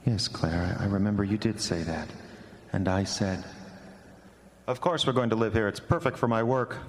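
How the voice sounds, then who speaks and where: A man playing a guilt-ridden, brooding chemist in a halting old-time radio drama monologue. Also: lowish voice, lightly acted. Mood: bitter, remembering. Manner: halting, repetitive interior monologue. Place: stage before a live audience. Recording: echoey live hall, some noise.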